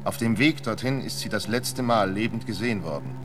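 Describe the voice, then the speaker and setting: An actor playing a formal, courteous frontier sheriff reading out his letter in a radio play, measured and sober. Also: fairly low pitch, music in the background, lightly acted. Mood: sober, official.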